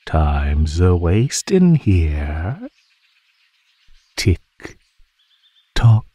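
Guy doing a smug predatory snake man for asmr roleplay, super slow husky drawl, teasing and threatening. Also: low voice, wildly swooping pitch, mellow, dry recording, full character voice. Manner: slow, mocking, condescending drawl with drawn-out pauses. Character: teasing, menacing male naga predator toying with captive prey.

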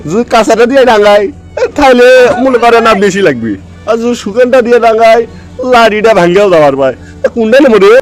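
A man's voice: very high voice, lively intonation, quick pace, faint music behind. A man voicing a scolding, scheming middle-aged village father in a comic cartoon, grumbling and threatening in a Bogra accent from northern Bangladesh. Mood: menacing. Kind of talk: comic cartoon dubbing in rural dialect.

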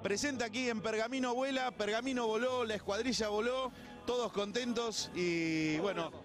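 A man's voice: sits high, normal speed. An Argentine man presenting and interviewing enthusiastically as a television host. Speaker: TV host and reporter. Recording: loud music under the voice. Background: music.

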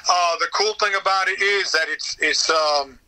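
American guy rambling casually in an interview, swears a bit, really sharp bright voice, highish. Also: normal speed, low-quality recording. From United States. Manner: rambling, profane. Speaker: podcast guest, radio theater voice actor. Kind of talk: casual interview chat, anecdotes.

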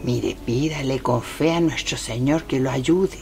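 A woman's voice: a bass voice, measured pace, a little mellow, faint music behind. An actress playing a folksy, pious, deferential woman servant with a Chilean accent in a radio drama. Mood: deferential concern.

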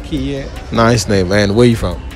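A man from Nigeria hosting a casual street interview in a store, asking drawn-out questions and laughing easily. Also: on the low side, crowd noise. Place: indoor clothing store and outside a store. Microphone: handheld phone held toward guests. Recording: background music under voices.

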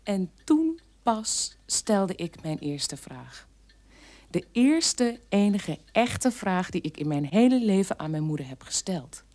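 An actress playing a bitter adult daughter of a war criminal, recounting her childhood in an emphatic, halting radio play monologue. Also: medium pitch, big pitch swings, darkish tone. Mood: tense, recalling.

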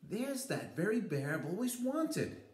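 Man putting on an eager, high-voiced little girl. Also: sing-song, measured pace, reverberant. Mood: eager pleading.

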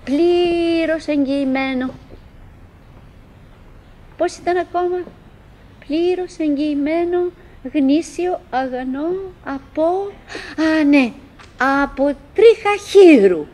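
A high-pitched, wistful wife, played by an actress in a radio drama. She chatters to herself in broken, repeated phrases, lonely and theatrical, leaving pauses between thoughts. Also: mellow, lightly acted, poor audio. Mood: insistent, calling out. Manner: fragmented, repetitive musing with pauses and self-address. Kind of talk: theatrical radio play acting, monologue.

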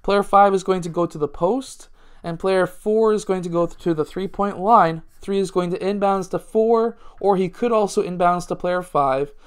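A man's voice: high-pitched, normal speed, smooth. A man, a basketball coach talking through plays step by step in a casual instructional way. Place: small indoor room at home.

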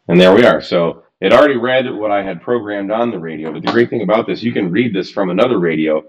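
A man's voice: lowish voice, fast, lo-fi sound. A man in his thirties giving a casual, chatty walkthrough of radio gear from a home office, with loose phrasing and lots of fillers. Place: home office room, indoors.

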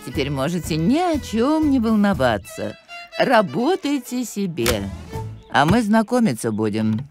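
Bossy stuck-up nanny lady from a cartoon, voice swings all over, loud music under it. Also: medium pitch, huge pitch swings, measured pace. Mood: condescending. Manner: imperious, condescending. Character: strict, haughty, bossy older nanny, seasoned teacher. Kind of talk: cartoon voice acting.

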